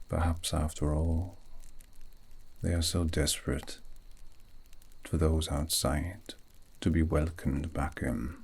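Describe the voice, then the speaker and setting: A man voicing a wistful, scholarly elf mage in a soft, breathy, half-whispered ASMR roleplay, speaking slowly and reflectively with long pauses. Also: a bass voice, exaggerated voice. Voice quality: breathy, gravelly, often slipping into whisper.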